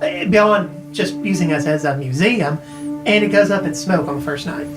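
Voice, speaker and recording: Actress as low-voiced, casual tech-savvy woman. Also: moderate pace, over music, natural voice. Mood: wry. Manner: informal, hedging, explanatory. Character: tech-savvy, casual woman who knows retro arcade games. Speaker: character in a mystery audio drama. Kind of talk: naturalistic conversational audio drama dialogue.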